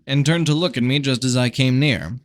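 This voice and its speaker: A man's voice: medium pitch, fairly quick, dry recording. A tired-sounding man giving a scripted narration of a fantasy novel, as an amateur audiobook reader.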